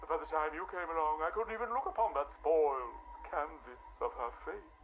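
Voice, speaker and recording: A mad, gleefully grotesque surgeon, with a high voice that swoops between hush and shriek. He is theatrical and tenderly menacing, a game villain on a muffled, faintly hissing audio log. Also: lively intonation, brisk. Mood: anguished obsession. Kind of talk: dramatic villain monologue for a video game.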